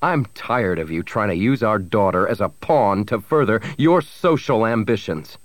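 A man's voice: fairly low pitch, lively intonation, moderate pace, dry recording, natural voice. A man voicing a stern, fair-minded older father who speaks with firm command in a story.

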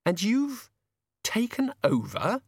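A man doing a sharp, demanding young woman. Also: high-pitched, huge pitch swings, slow, gravelly, husky, dry recording, clean studio sound, pitched above the performer's own voice, heavily acted. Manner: indignant, pressing questions.

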